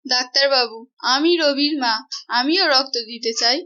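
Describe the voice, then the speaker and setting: A woman's voice: high voice, normal speed, bright, forceful tone. A woman playing a kind, earnest village mother in a cartoon. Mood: earnest, urgent. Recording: muffled, music behind.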